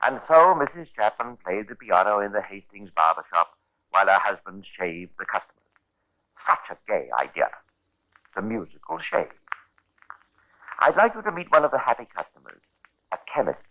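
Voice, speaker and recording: An actor playing a sardonic storyteller, narrating a 1950s radio crime drama in a deliberate, theatrical way. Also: male voice, fairly low pitch, sing-song. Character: sardonic male storyteller relishing a grim murder tale. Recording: noisy, muffled 1950s radio recording.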